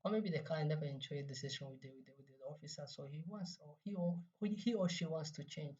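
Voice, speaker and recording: Young male student presenter, Somali accent, halting delivery with repeated words, dull recording at some distance from the microphone. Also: a little high, darkish tone. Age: 20s. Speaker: university student presenting a project. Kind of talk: semi-scripted project presentation.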